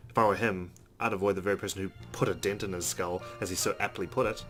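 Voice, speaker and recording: Low-voiced, brisk man reading narration. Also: steady tone, fairly bright tone. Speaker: let's play host. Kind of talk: reading visual novel narration aloud. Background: game music.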